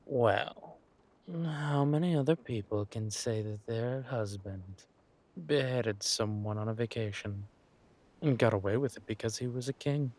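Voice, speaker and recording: Man playing a husky, languid villain king. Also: mid-range voice, a little mellow. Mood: smug, teasing. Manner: teasing, languid, amused, drawn-out phrasing with murmured asides. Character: flirtatious, smug villain king, husband on a voyage. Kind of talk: roleplay audio drama addressed to the listener.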